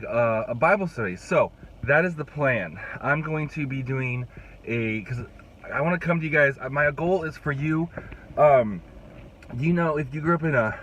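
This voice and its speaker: A man's voice: medium pitch, highs cut off, a little hiss or hum. A young adult man, a youth pastor, chatting casually to his phone camera while fighting a cold, rambling with restarts. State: congested, fighting a cold, voice rough. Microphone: phone held at arm's length.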